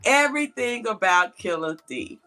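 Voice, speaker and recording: A woman's voice: medium pitch, animated, normal speed, pushed and bright, faint music behind. A woman hosting an interview podcast in a conversational way. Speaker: podcast host.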